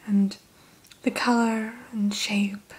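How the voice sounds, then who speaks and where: Softly whispering woman, very breathy. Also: medium pitch, level tone, takes it slowly, rough recording. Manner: mostly whispered, gentle and courteous. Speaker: dragon hatchery keeper in roleplay. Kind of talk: ASMR roleplay.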